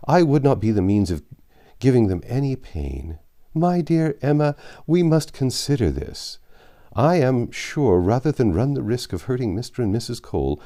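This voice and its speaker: Man playing a fretful, lilting old gentleman. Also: mid-range voice, pitched above the performer's own voice. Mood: apologetic, anxious. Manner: fussy, plaintive. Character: fretful, gentle elderly gentleman, anxious hypochondriac father. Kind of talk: audiobook character voice.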